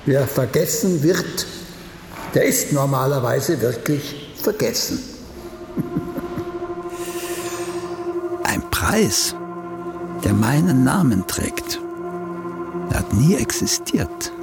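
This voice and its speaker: A gravelly old man of letters in a radio play, vain and sardonic. He speaks slowly and theatrically, with wide swings of pitch. The phrases sometimes sink into breathiness. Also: sing-song, over loud music, exaggerated voice. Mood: wistful, ironic. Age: older. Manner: slow, theatrical, drifting into wry chuckles. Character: old, vain, sardonic once-celebrated playwright. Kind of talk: radio drama acting.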